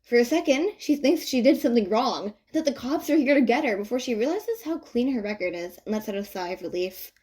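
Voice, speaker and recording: Higher-pitched woman reading fan fiction. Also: expressive, a little fast, few pauses, dark tone, breathy edge. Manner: informal, wry storytelling. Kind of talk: expressive scripted narration.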